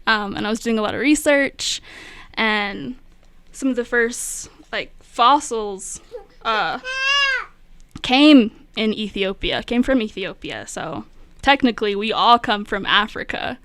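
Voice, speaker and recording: Slow, soft-spoken young woman, reflective. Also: a little high, smooth. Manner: slow and reflective, many fillers. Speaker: poet, radio guest. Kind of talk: spoken word poetry and casual radio talk. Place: radio studio.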